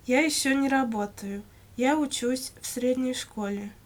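Female, slightly high voice, reciting model answers for language learners in measured full sentences. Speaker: language-lesson model speaker answering questions. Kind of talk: scripted language-lesson dialogue, read aloud.

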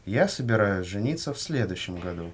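A man reading scripted questions and answers for a language lesson in a measured way. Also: lowish voice, very animated, breathy edge. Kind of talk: scripted language-lesson dialogue, read aloud.

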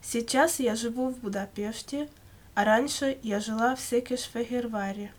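A woman reading scripted answers for a language lesson in measured, complete sentences. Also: on the high side. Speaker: language-lesson model speaker answering questions. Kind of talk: scripted language-lesson dialogue, read aloud.

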